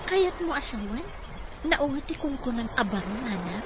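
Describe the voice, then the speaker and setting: Highish polite young nurse. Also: female voice, sing-song, moderate pace. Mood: curious. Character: young single mother, polite, inquisitive job-seeking nurse. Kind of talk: radio drama acting. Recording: clearly noisy background.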